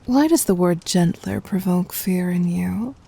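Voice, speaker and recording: A woman voicing a commanding, possessive yet tender demon queen in an ASMR roleplay, soft, husky and often near a whisper, with imperious, teasing delivery. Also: lowish voice, a little slow, heavily acted. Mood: teasing, amused.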